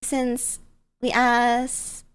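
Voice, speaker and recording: A woman's voice: a little high, monotone, extremely slow, smooth. A woman streamer telling stories casually in a bubbly, playful, cutesy way. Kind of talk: casual storytelling chat on a livestream.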